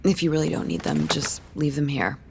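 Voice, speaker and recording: Very creaky, quick-talking woman colleague. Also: low-pitched, noisy background. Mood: helpful, tentative. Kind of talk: video game cutscene acting.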